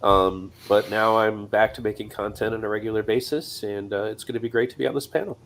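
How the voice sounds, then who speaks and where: A man's voice: lowish voice, fairly even intonation, a little fast, fairly bright tone. A man who podcasts, speaking conversationally as he moderates a panel discussion. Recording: faint ambient noise.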